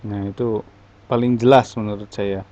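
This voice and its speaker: A man teaching an English class in a relaxed, casual way, answering student questions with lots of fillers. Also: on the low side, moderate pace, lo-fi sound. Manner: relaxed, explains with many fillers.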